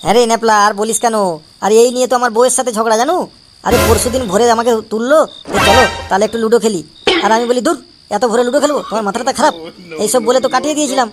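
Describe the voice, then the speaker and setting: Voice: medium pitch, very fast, a crowd in the background, lightly acted. A performer voicing a henpecked, chatty cartoon husband who talks in a complaining, gossipy way in comic dialogue. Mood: exasperated, gossipy. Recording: loud music behind the voice. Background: music.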